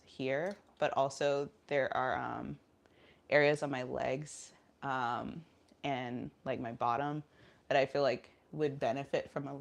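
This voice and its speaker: A woman chatting casually in a vlog, low on energy and nasal from a cold, rambling with lots of fillers and talking through squats. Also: vocal fry. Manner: talking while doing squats. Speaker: vlogger. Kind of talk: casual chat vlog, streaming live.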